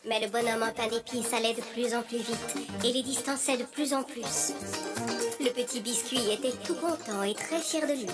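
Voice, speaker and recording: A woman with a fairly high voice narrates a children's fairy tale from a script. Music plays clearly behind her. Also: normal speed.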